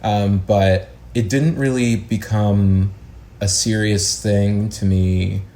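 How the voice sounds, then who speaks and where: Deep-voiced young American man, mellow tone. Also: steady tone, slow pace. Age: young adult. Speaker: interview guest, actor and acting educator. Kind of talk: casual interview chat. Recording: roomy echo, faint background noise.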